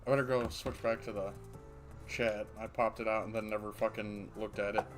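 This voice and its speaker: A man's voice: on the low side, level tone, brisk, faint music behind. Crude joking male streamer. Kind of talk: casual livestream chat.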